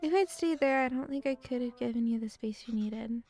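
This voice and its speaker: A woman reading a gentle immigrant mother. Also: fairly high pitch, brisk, no room sound, soft background music, lightly acted. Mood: reflective. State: weak voice. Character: middle-aged immigrant mother, gentle and reflective.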